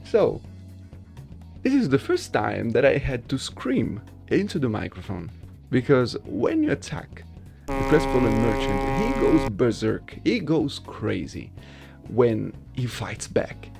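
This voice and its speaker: Slow, gravelly, animated male vlogger. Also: mid-range voice, over music. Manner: self-deprecating, repeats himself, checks with "right?". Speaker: amateur voice actor, video host. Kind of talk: casual chat to viewers.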